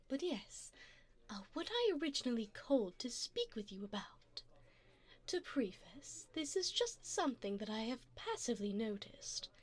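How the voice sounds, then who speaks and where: Casting: actress as rich female vampire for ASMR roleplay; highish voice, lilting and unhurried, breathy, poised, doting with a predatory edge. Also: full character voice. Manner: poised, formal, teasing, often breathy and half-whispered. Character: seductive, wealthy vampire woman, doting and predatory. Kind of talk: ASMR roleplay, addressing the listener.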